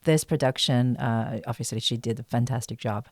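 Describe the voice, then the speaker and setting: Halting, low-key female podcast guest, dry sound. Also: darkish tone, no room sound. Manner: halting, thoughtful, many pauses. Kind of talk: conversational interview.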